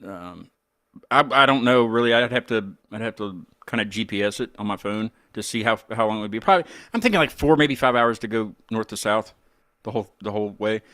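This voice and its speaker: A man's voice: lowish voice, brisk, a bit bright, no room sound, clean studio sound. An American man hosting a casual music chat, rambling informally as he talks. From United States. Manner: rambling, informal, mild swearing. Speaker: interviewer, music show host.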